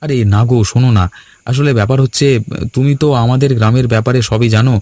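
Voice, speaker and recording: A man's voice: mid-range voice, fairly even intonation, quick pace, mellow, close to an everyday voice. A man playing a brash, quarrelsome young villager in a cartoon, talking bluntly and goading in colloquial speech. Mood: scheming, eager. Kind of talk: Bengali cartoon dialogue.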